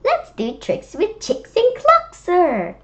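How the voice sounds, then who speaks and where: A woman voicing an eager, tricky, bossy storybook fox with an excited sing-song delivery. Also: extremely high pitch, slow, voice pushed up, exaggerated voice. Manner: excited sing-song, tongue-twisting patter.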